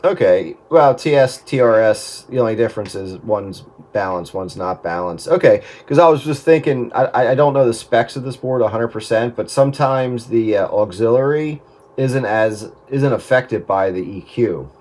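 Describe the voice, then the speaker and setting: A man's voice: mid-range voice, animated, normal speed, darkish tone. A man chatting casually about technical matters on the radio, thinking aloud in a hesitant way. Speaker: amateur radio operator.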